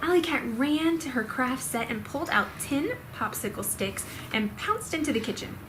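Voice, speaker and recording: A woman with a slightly high voice reads a children's storybook aloud. Her delivery is expressive, pitched for a small child. Clear background noise runs behind her. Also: normal speed.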